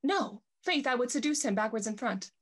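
A woman's voice: a little high, animated, normal speed. A woman playing a proud, jealous society lover bent on revenge, haughty and theatrical, in a period comedy. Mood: vengeful. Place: indoor room, video call.

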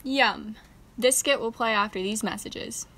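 A woman's voice: a little high, a little slow, noisy background, close to an everyday voice. A woman playing a peppy radio show host, presenting from a script.

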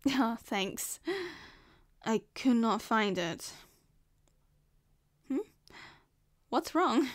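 A woman's voice: on the high side, animated, drawn-out words, no echo, studio quality. A woman with an Australian accent playing a possessive, scheming young woman in an ASMR roleplay, sweet and coaxing. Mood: sweet, probing.

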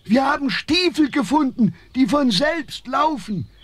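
Squeaky quarrelsome forest spirits. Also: male voice, expressive, measured pace. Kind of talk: audio drama acting.

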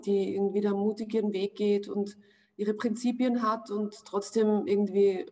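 A woman in her forties with an Austrian accent, an actress giving a video-call interview, pausing often to find her words. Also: medium pitch, a little mellow. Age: 40s. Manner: thoughtful, searching for words with many pauses. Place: home room indoors.

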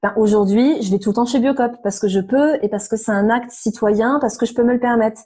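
A woman in her thirties speaks as an interview guest, her voice slightly hoarse yet mellow and warm. She sits fairly high in pitch and talks frankly and animatedly, full of little fillers and restarts. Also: fairly quick. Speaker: interview guest, nutrition therapist. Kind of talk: casual conversational interview.

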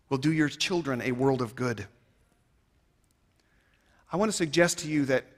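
A man in his thirties giving a keynote speech on stage, emphatic and rhetorical, swinging between loud and quiet phrases, on a headset mic. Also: a little high. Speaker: keynote speaker. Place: stage of a large conference hall. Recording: reverberant large hall.

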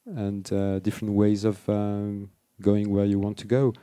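A middle-aged man, a film editor, speaking with searching, halting phrasing at a festival panel. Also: on the low side, little pitch movement, dark tone, no room sound. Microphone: table microphone.

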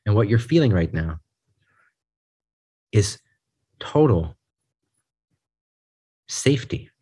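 Low husky man guiding a meditation. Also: very animated, mellow. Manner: soothing, unhurried, short phrases with pauses. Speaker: meditation guide. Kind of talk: guided group meditation.

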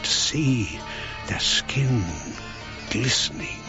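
Old archaeologist narrator, haunted, really slow broken phrases, muffled radio play w music. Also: male voice, mid-range voice. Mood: haunted, reflective. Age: older. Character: old English archaeologist, haunted, wistfully recalling his past. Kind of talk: dramatic reflective radio narration. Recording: dull radio recording, prominent music behind.

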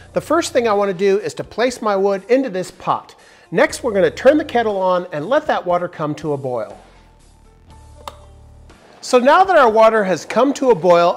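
A man in his forties hosting a woodworking tutorial, explaining each step in an upbeat, step-by-step way from a large indoor workshop. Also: sits high. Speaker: woodworking channel host.

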